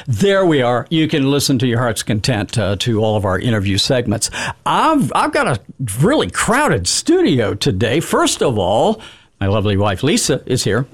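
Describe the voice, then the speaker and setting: A middle-aged man hosting a local radio talk show, jokey and rambling as he teases his guests. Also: mid-range voice. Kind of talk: casual radio chat. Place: radio studio.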